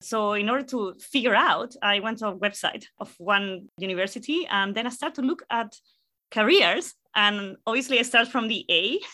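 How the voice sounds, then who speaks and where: A Chilean woman in her thirties speaks English with a Spanish accent, her voice bright and clear. She answers interview questions casually and thoughtfully, with small fillers as she recalls her past. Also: medium pitch, normal speed. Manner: warm, reflective storytelling with fillers. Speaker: guest astrophysicist.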